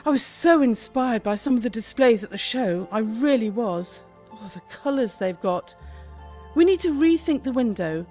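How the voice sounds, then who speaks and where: An actress playing a bossy, easily panicked British shop owner in a radio comedy, chatty and theatrical. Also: female voice, a little high, mellow. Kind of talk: radio play comedy acting.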